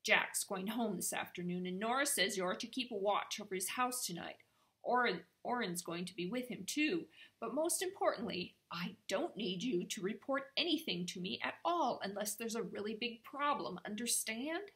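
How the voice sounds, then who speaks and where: Storybook raven voiced by a woman, male, greedy, bossy, sarcastic, gravelly edge. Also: mid-range voice, expressive, moderate pace, fairly bright tone.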